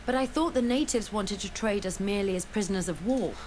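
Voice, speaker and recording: A woman's voice: medium pitch, a little fast, darkish tone, a little hiss or hum, lightly acted. A woman playing an earnest, principled scholar full of remorse, in sober video game dialogue. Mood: remorseful, earnest.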